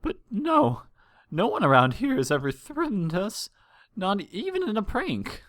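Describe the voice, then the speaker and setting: A distraught cartoon mother pony, acted out by a man reading a story. The voice is high and breathy, with a tremble and frequent stammers. It pleads tearfully and swings widely in pitch. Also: huge pitch swings, a bit bright, no echo, clean studio sound, heavily acted. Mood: anxious, halting. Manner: halting, stammering through sobs. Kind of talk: fan fiction audiobook character voice.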